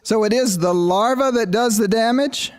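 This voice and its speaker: Man acting very high-voiced, worried farmer Earl. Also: normal speed, smooth, close to an everyday voice. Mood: worried, questioning. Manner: questioning, concerned. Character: worried, questioning male potato farmer named Earl. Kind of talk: amateur historical drama.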